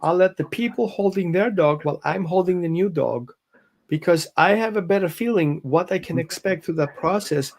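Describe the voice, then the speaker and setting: A man's voice: high-pitched, moderate pace. A man, a dog behavior consultant explaining on a live show with phrasing and quoted examples. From Greece and Austria.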